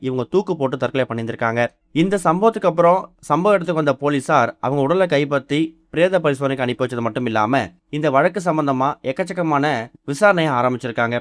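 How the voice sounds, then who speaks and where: A man's voice: on the high side, lively intonation, fast talker, dry recording, studio quality. A man presenting entertainment news in a scripted narration style.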